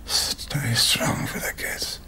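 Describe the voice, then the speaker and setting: An actor playing a stubborn, embittered middle-aged Irish father from a TV drama, with a gravelly Dublin accent. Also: slow, pushed and bright, in a whisper. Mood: weak, dying whisper. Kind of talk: naturalistic TV drama acting.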